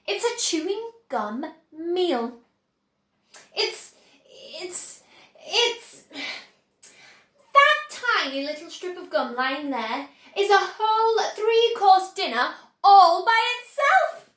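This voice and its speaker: Brief: woman doing a grand, boastful male candy inventor, high and bright, storybook, roomy echo. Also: very animated, slow pace, full character voice. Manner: boastful, exclamatory.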